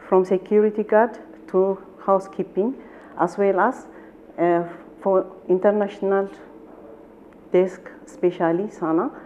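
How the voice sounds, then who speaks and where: A middle-aged woman from Ethiopia, the patient's wife, reading a handwritten letter aloud slowly and carefully, with halting pauses, seated in a small indoor room. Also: on the low side, narrow, tinny audio, a little hiss or hum.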